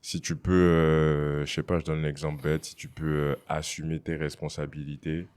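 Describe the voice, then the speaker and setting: Very deep-voiced young Togolese man. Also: normal speed, smooth. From Togo. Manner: relaxed, slangy, drops English phrases, many "tu vois". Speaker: rapper guest. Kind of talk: casual interview chat.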